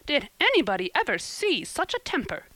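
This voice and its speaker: A woman's voice: medium pitch, big pitch swings, a little fast, fairly bright tone, no echo, poor audio. A woman voicing a blunt, easily offended village lady in a storybook, outspoken and emphatic. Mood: horrified.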